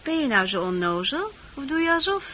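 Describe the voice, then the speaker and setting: An actress in a Dutch radio play playing a scornful, proud prostitute with a brash, mocking manner. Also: female voice, sits high, big pitch swings, fairly quick, bright, forceful tone, a little hiss or hum, exaggerated voice. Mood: scornful, impatient. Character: hard, scornful prostitute, proud, wounded underneath.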